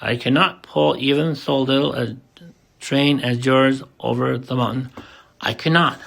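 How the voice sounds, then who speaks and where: A man's voice: medium pitch, fairly even intonation, measured pace, creaky, fairly bright tone. A man voicing a tired, rusty old male engine from a storybook who speaks with a weary sigh. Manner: weary sighing.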